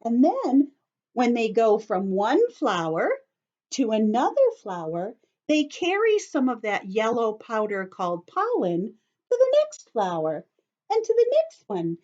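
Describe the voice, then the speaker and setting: Slow, swooping high-voiced woman teacher reading aloud. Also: dull audio. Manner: expressive, simple teacherly phrasing with questions to children. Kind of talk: read-aloud lesson for children with explanations.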